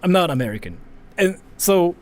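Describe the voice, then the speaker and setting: A man from Japan hosting a livestream, chatting casually as he reads viewer comments, animated and defensive, with lots of restarts. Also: high-pitched, normal speed, slight background noise. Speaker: livestream host.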